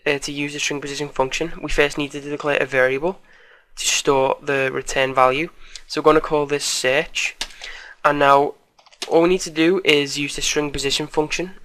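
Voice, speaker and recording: A man with a fairly high, bright voice presents a casual screencast tutorial. His delivery stays quite monotone, informal and a bit hesitant, with a slight croak left over from a cold.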